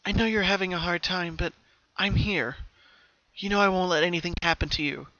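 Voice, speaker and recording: Man as a storybook pony sister, raised pitch, gentle, soothing, protective. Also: a little fast, no echo, muffled recording. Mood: comforting. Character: comforting, protective older pony sister, young female.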